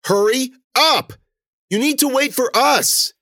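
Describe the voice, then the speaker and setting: A man voicing a bossy, urgent girl who snaps sharp, exasperated commands in an audiobook story. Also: deep voice, huge pitch swings, moderate pace, husky, dry recording, studio quality, heavily acted. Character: bossy, urgent girl snapping at her friends.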